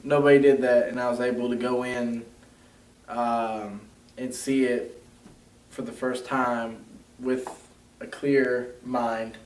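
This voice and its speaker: A man's voice: medium pitch, little pitch movement, slow pace, pauses often. A young man co-hosting a casual movie review, chatty and loose, saying "like" a lot. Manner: chatty, lots of "like", acts out scenes. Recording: echoey room, mic far off.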